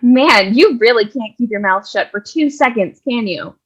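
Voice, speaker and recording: A woman's voice: fairly high pitch, lively intonation, moderate pace, highs cut off, a little hiss or hum, close to an everyday voice. A woman playing a brash, sarcastic, scolding nun narrator in a comic stage reading. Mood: wry, bossy. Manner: scolding, sassy. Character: brash, sarcastic nun who takes over storytelling.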